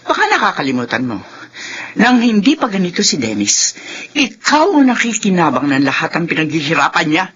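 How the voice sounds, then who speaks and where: A woman's voice: fairly low pitch, big pitch swings, brisk, a bit bright, husky, exaggerated voice. An actress playing a bitter, accusing older mother-in-law in a radio drama, scolding her daughter-in-law. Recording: dull lo-fi radio broadcast.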